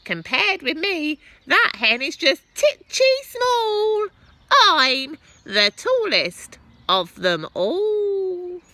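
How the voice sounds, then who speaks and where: Storybook granny: very high, forceful shriek, elderly, bossy, crotchety and scornful. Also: female voice, big pitch swings, very slow, dry recording, exaggerated voice. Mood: boastful. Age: older. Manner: shrieking, scolding, boastful. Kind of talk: animated children's storybook character voice.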